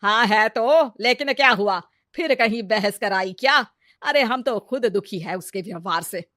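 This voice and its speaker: Sharp-tongued Indian mother-in-law played by a woman: high, dry studio sound, melodramatic taunts for a comic moral story. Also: measured pace, no echo. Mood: bitter complaining. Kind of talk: exaggerated comedy story acting.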